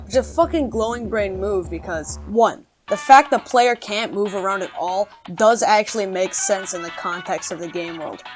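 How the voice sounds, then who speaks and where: A woman's voice: mid-range voice, normal speed, hardly any pauses, soft background music. Young woman narrating a sweary video essay. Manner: sweary, slangy, opinionated. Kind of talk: scripted casual video game commentary.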